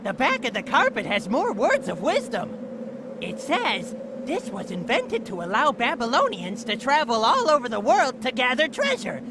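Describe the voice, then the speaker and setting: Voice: mid-range voice, noisy background. An actor playing a cocky, proud young male hawk in a cartoon game, with a brash swagger.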